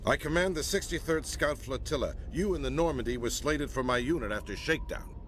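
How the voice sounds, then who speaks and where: An actor playing a gruff, disapproving middle-aged admiral in a video game, blunt and stern in the way he talks. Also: mid-range voice, a little fast, a bit bright, slight background noise, natural voice. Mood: stern, disdainful. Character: gruff, disapproving senior space navy admiral inspecting a warship.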